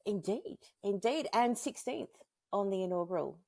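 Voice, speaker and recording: A woman's voice: medium pitch, animated, normal speed, gravelly, mellow, dry recording, clean studio sound. A woman with an Australian accent interviewing someone in a casual, informal and self-deprecating way. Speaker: interviewer and indie fiction podcaster.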